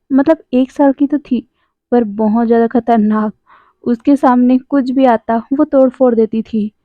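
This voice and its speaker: A woman with a slightly high voice, hoarse from a sore throat, retells a story in a loose, chatty way and keeps breaking off to talk straight to her viewers. The recording is tinny and phone-like, and very dry, with no room sound at all. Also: no echo. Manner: chatty, addresses viewers directly, self-correcting asides. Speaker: YouTuber retelling fan fiction.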